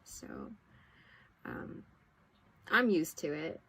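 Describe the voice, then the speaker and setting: A woman in her twenties from Hawaii, a guest in a casual podcast interview, talking easily with fillers and soft laughs. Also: low voice, expressive, very slow, breathy edge. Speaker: guest, English teacher and content creator. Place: home near a train line in Tokyo.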